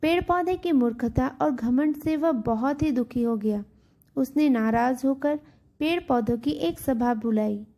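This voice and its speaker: High-pitched woman narrating a children's story. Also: unhurried, warm tone.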